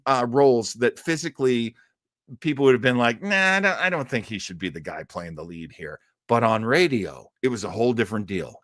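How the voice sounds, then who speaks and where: A man's voice: mid-range voice, a bit bright, no echo, clean studio sound. A man hosting a live stream, chatting casually with viewers in a rambling, conversational way and often chuckling as he talks.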